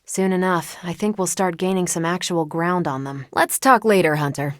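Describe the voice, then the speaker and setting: A woman's voice: on the low side, normal speed, no room sound, clean studio sound, natural voice. A woman with an American accent playing a confident, wry, upbeat superheroine in a video game, with breezy, teasing, casual delivery. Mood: determined, brisk. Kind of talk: video game voice acting, short one-off lines.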